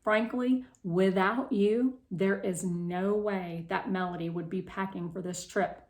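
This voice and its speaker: A woman's voice: medium pitch, fairly even intonation, a little slow, a little mellow, reverberant, close to an everyday voice. A woman voicing a supportive, organized storybook mother with a kindly, practical manner.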